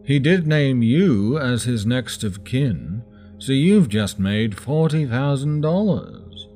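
A man voicing a calm, cruel male experimenter who speaks slowly and smugly. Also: mid-range voice, soft background music, voice pushed up. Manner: slow, drawn-out, smug.

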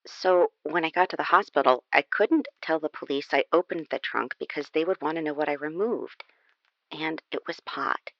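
A woman's voice: low-pitched, moderate pace, no echo, dull audio. An actress reading a confessional letter as an apologetic, confiding convicted woman in a dramatic audio reading. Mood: confessional, explaining.

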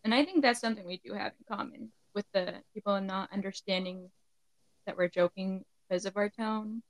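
A woman's voice: mid-range voice, fairly quick, dry recording. A woman hosting a podcast, reading her show intro and chatting with a guest, with a voice that sounds younger than she is. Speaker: podcast host. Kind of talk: podcast intro and casual interview.

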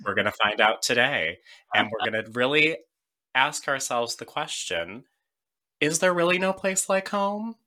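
A man's voice: mid-range voice, lively intonation, a little slow, bright, forceful tone. A man hosting a podcast, giving a playful, upbeat introduction. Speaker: podcast host. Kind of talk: scripted introduction, casual chat.

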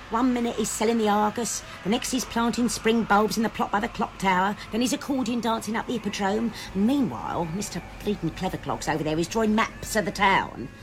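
A woman's voice: mid-range voice, slight background noise, lightly acted. A woman playing a scheming criminal mastermind posing as a charlady, confiding and long-suffering, with a working-class English accent. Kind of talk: comic radio drama acting.

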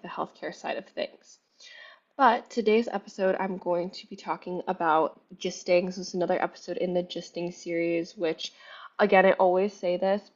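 Young woman podcast host, lowish voice, slightly raspy, chatty and upbeat, dull lo-fi recording. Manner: chatty, upbeat, many "like" and "you know" fillers. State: slightly raspy from recovering from illness. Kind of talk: casual solo podcast chat. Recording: dull lo-fi sound.

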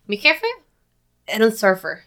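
Animated Mexican woman telling stories. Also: mid-range voice, measured pace. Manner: animated storyteller, laughs often. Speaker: podcast guest. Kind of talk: casual podcast chat.

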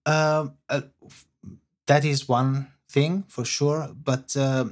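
A man's voice: medium pitch, flat delivery. Man with an Italian accent. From Italy. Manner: halting, searching for words in English. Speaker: guest composer. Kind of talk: podcast interview answers.

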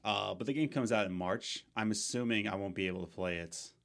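A man's voice: lowish voice, brisk, gravelly, fairly bright tone. A young man co-hosting a casual gaming podcast, rambling with lots of fillers and restarts. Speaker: podcast co-host.

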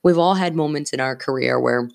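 Creaky-voiced woman podcaster, candid. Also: very deep voice, brisk, darkish tone. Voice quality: slightly scratchy early-morning voice. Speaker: podcast host. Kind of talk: casual solo podcast monologue.

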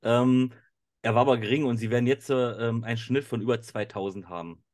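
German male co-host, casual and musing, average pitch. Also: fairly quick, darkish tone. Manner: thinks aloud with fillers. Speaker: podcast co-host. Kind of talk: casual podcast chat.

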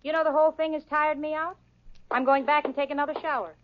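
Dry-witted young secretary, played by an actress. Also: female voice, sits high, fairly even intonation, fast, very noisy, close to an everyday voice. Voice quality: dry, sardonic wit. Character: level-headed, wry young secretary. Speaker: co-lead and narrator in radio sitcom. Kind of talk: 1940s radio sitcom acting. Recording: dull old radio broadcast, audience laughter.

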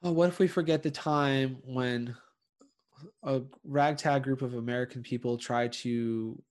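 A man's voice: mid-range voice, fairly even intonation, unhurried, a little mellow. A man in his thirties from Connecticut, chatting casually and rambling with many fillers and restarts. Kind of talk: casual chat.

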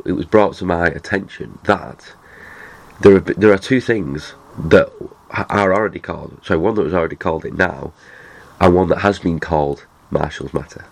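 Male podcast host, very low voice, stuffy nose from a cold, rambling chatty delivery. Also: vocal fry. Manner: rambling, chatty, many restarts. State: nasal from a cold. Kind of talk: casual chat.

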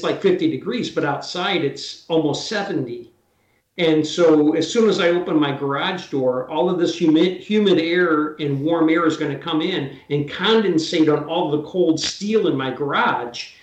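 Man in his sixties, somewhat high voice, echoey room, chatty and practical. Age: 60s. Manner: chatty, explains with hands-on detail. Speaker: drywall taper, guest. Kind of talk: casual interview chat.

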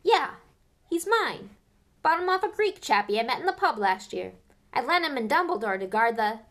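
A woman reads a big gruff gamekeeper in a storybook, talking loud and raised. His speech is homely and blunt, and he snaps back hotly when pushed. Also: high voice, sing-song, moderate pace, pitched above the performer's own voice, heavily acted. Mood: cheerful. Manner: gruff, hot-tempered, country-folk speech. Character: big gruff half-giant gamekeeper man, defensive.

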